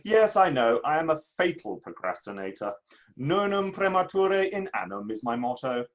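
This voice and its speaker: Man as animated, highish eccentric Victorian playwright. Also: moderate pace, soft background music. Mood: breezy. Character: eccentric, pedantic Victorian playwright, breezily unapologetic procrastinator. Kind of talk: dramatic play reading over the internet.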